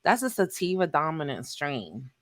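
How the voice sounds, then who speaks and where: A woman's voice: deep voice, big pitch swings, normal speed, dry recording, clean studio sound. A woman, chatting animatedly and talkatively as a podcast guest. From New York. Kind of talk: casual chat.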